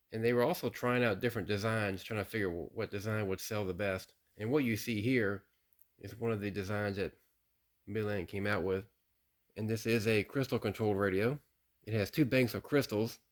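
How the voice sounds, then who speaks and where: A middle-aged American man casually showing off a piece from his collection, speaking in a plain, folksy way and often repeating himself. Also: lowish voice. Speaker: radio collector presenting a hobby video. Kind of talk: casual product showcase narration.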